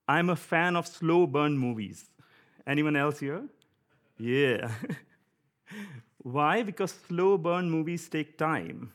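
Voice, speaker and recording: A man from India with an Indian accent giving a conversational church sermon, often pausing with fillers and light laughs. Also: fairly high pitch, fairly bright tone. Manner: conversational, chuckling, with frequent fillers. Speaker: preacher.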